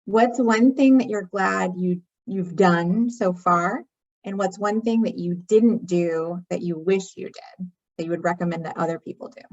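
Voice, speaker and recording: Brisk woman moderating a panel. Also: on the low side, fairly even intonation, a little mellow, dull audio. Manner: brief, brisk.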